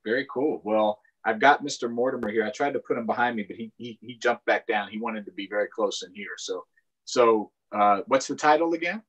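A man's voice: lowish voice, fairly quick, a bit bright, slightly rough, muffled recording. A middle-aged man casually chatting to children and families as the host of a storytime on a video call. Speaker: county councilmember hosting children's storytime. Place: small furnished room indoors, on a video call. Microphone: video call microphone.

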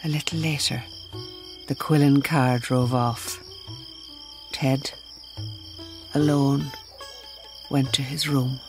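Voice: medium pitch, little pitch movement, takes it slowly, pauses often, music in the background. A narrator delivering scripted narration for a radio drama.

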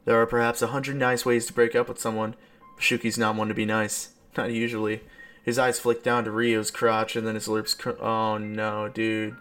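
A man's voice: on the low side, monotone, moderate pace, a bit bright. A young adult man reading a visual novel aloud as a streamer, with casual, slangy, exclamatory reactions. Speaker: let's play streamer. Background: background game music.

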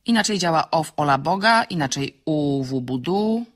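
A woman giving an expressive reading of a novel, acting out the dialogue, in a husky voice. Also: low voice, normal speed.

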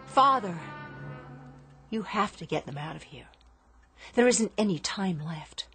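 An actress playing an inventor's desperate, defiant grown daughter in a radio drama, impassioned and theatrical in delivery. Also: female voice, lowish voice, animated, measured pace, a bit bright, soft background music. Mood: defiant, urgent.

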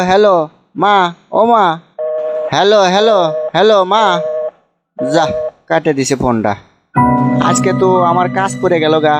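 Man acting a plaintive migrant son, high voice. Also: lightly acted. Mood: weary. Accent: northern Bangladeshi (Bogura). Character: hardworking lonely migrant-worker son, pleading and wounded. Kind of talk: amateur comedy cartoon dubbing. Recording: music behind the voice.

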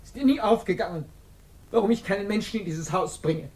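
A woman's voice: medium pitch, animated, brisk, darkish tone, close to an everyday voice. An actress playing a stern, domineering middle-aged businesswoman in a 1950s radio drama, reproachful and scornful. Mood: cold, wounded.

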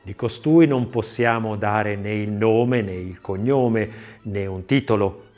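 A man narrating a scripted audiobook in an expressive, literary, dramatic reading style. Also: lowish voice, takes it slowly, muffled recording, soft background music.

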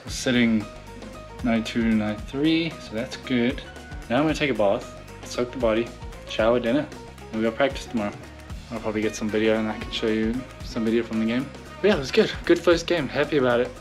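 Relaxed South African man, softened accent. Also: mid-range voice, a little fast, faint music behind. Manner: relaxed, matter-of-fact self-filming. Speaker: professional baseball pitcher vlogging. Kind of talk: casual video diary. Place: hotel room and city street. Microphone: phone camera at arm's length.

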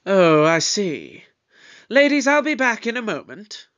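A man playing a shrewd, authoritative detective in an audio drama, with a pompous, deliberate, probing delivery. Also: high voice, very animated, fairly bright tone, highs cut off, heavily acted. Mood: suspicious, brisk. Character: shrewd, authoritative male time-travelling detective, pompous and suspicious.

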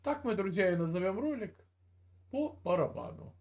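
A man's voice: high voice, expressive, normal speed, a little mellow, highs cut off. An older man hosting a video chat stream, lecturing and scolding the person he talks to, often interrupting, speaking over earphones with a cable mic. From Russia. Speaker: video chat channel host. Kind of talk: heated video chat argument. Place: indoor room, video call.

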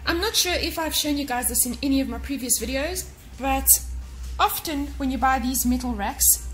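A woman's voice: high voice, fairly bright tone, rough recording. A woman in her thirties talking casually to camera in her home kitchen, giving practical cleaning and organizing tips to viewers. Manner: chatty and practical, gives tips directly to viewers. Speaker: cleaning vlogger. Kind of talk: casual how-to narration to camera.

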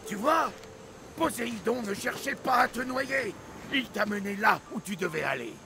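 Dramatic old greek sailor in a game, gravelly, swoops up and down. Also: male voice, sits high, expressive, normal speed, noisy background. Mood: animated, grave. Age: older. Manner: theatrical, expansive. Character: excitable old Greek sailor, theatrical storyteller. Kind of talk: dramatic video game acting.

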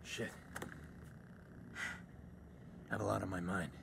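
An actor playing a grim, guarded vigilante hacker in a video game, gravelly and terse. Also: male voice, steady tone, fast talker, noisy background. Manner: terse, low-key. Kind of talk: cinematic video game acting.

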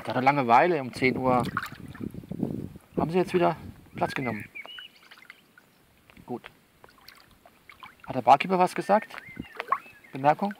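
A man's voice: on the high side, a little hiss or hum. A man in a conversational, improvised one-sided monologue, coaxing and bossing a listener along and often repeating himself. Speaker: performer of his own audio novel.

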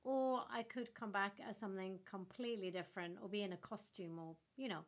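A woman's voice: medium pitch, fairly quick. Norwegian-born actress guest in her 30s, eager and gesturing, webcam call from home. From Norway. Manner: enthusiastic, animated with hand gestures. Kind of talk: remote interview answers. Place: home room in front of a floral wall. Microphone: laptop webcam on a video call.

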